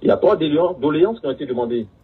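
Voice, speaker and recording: A man speaking haltingly in a recorded phone conversation, stammering and repeating his words. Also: a little high, lively intonation, slightly rough. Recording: muffled leaked phone call, distant, narrowband.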